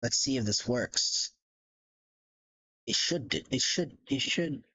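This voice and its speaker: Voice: mid-range voice, expressive, slow, sharp tone, lo-fi sound, slight background noise. A live streamer chatting casually in a rambling, giggly way and swearing freely. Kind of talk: casual livestream chat.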